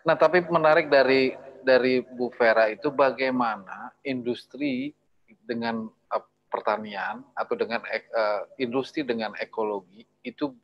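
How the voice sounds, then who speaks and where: A man moderating a casual discussion, talking haltingly and often repeating words. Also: medium pitch, animated, dull audio. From Indonesia. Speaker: host, moderator.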